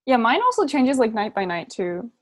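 A woman's voice: a little high, sing-song, moderate pace, dry recording. Casual young woman, dry close sound. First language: Japanese and English. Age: young adult. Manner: informal, frequent "like". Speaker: podcast co-host. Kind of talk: casual chat.

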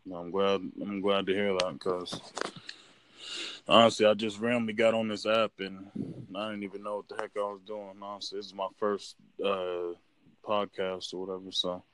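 A man's voice: fairly low pitch, little pitch movement, normal speed, a bit bright, a little hiss or hum, low-quality recording. A man in casual chat as a podcast guest, rambling with many fillers and restarts. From United States.

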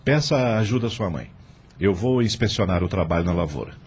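An actor playing a wealthy middle-aged farmer and father in a radio drama, rough-voiced, firm and paternal. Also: deep voice, expressive, fairly quick, darkish tone, a little hiss or hum.

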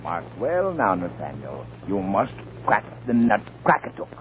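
An actor playing a scheming, gloating old enchanter in a 1950 radio drama, with theatrical, drawn-out delivery. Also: male voice, deep voice, huge pitch swings, full character voice. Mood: grave, solemn. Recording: tinny, noisy 1950 radio broadcast.

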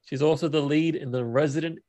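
A man's voice: fairly high pitch, steady tone, brisk, warm tone. A man co-hosting a podcast, discussing films casually in an informal, questioning way. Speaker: podcast co-host.

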